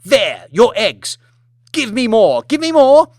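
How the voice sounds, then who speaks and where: Man putting on a shrill imperious aristocratic lady. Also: sing-song, slow, darkish tone, no room sound, clean studio sound, heavily acted. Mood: furious. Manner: petulant, sobbing self-pity turning to furious outrage.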